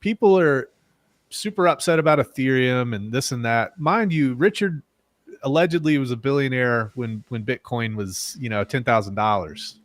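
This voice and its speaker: A man's voice: mid-range voice, moderate pace, no echo. A man, a guest on a crypto livestream, talking casually and speculating, with frequent restarts. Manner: rambling, speculative, many restarts. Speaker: guest, crypto project builder. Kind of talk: casual crypto podcast chat.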